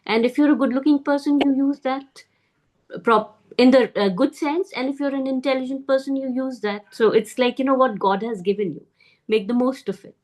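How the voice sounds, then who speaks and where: A woman's voice: high-pitched, steady tone, moderate pace, darkish tone, dry recording. A woman shares her views in a casual book club discussion.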